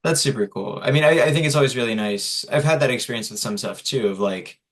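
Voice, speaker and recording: An interviewer chatting casually, reacting warmly before asking the next question. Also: mid-range voice, fast talker. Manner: friendly, encouraging, casual with "like" and "kinda".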